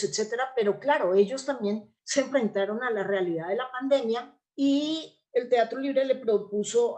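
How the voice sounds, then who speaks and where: Woman, Colombian accent, medium pitch, interview guest, conversational, explanatory, short checking tags. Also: a little mellow. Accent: Colombian Spanish. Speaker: interview guest, theatre actress and playwright.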